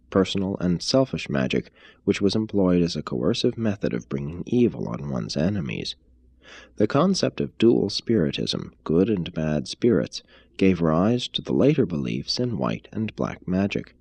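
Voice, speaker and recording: Very deep, gravelly male narrator. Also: a little mellow, no echo. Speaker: reader, narrator. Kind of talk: scripted audiobook-style reading of an expository text.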